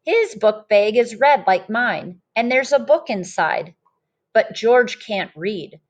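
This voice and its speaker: Storybook child narrator, played by a woman: admiring, eager, emphatic, unhurried pace. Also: on the high side, expressive. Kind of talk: children's picture-book read-aloud.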